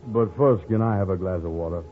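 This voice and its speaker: Low-voiced, gullible radio sitcom man played by an actor. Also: fast talker, loud music behind the voice, close to an everyday voice. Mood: nervous, reluctant. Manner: hesitant, stammering. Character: gullible, slow-witted, girl-crazy man in a radio sitcom. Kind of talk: 1940s radio comedy acting. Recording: noisy old radio recording, narrow phone-like band.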